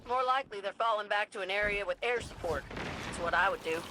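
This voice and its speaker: An actress playing a brash, cocky military pilot in a video game, with a blunt, folksy, informal delivery. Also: female voice, medium pitch, quick pace, natural voice. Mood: cocky, dry. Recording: noisy vehicle ambience behind voice.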